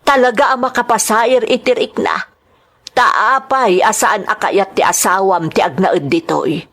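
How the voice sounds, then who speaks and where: A woman's voice: medium pitch, unhurried, a bit bright. A woman acting an anguished, protective older mother in a radio-style melodrama, questioning plaintively with an Ilocano accent. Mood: troubled, questioning.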